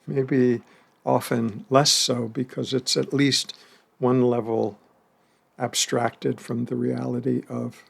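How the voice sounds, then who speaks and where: A man leading a guided meditation, speaking slowly and gently with long pauses and the odd soft laugh. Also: medium pitch, level tone, gravelly, slightly rough. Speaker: meditation teacher.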